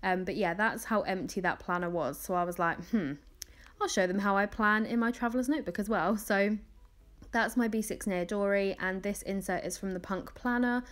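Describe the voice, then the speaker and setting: A woman's voice: mid-range voice, moderate pace. A young woman in her twenties chatting casually through a planning video voice-over, rambling with many ums and small laughs, her voice crackly from early morning. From England. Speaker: planner vlogger.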